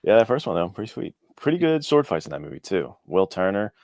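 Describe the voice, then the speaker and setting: A man with a low voice speaks as a guest in a relaxed interview. His talk is informal and keen, and the sound is dry and close. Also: fast talker, dry recording. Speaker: guest, indie author. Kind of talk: casual interview chat.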